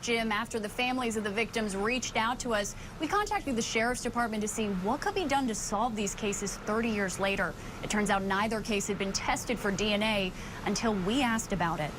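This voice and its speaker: A woman's voice: mid-range voice, a little fast. A woman with an American accent delivering an on-air report as a television news reporter. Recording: clearly noisy on-air report.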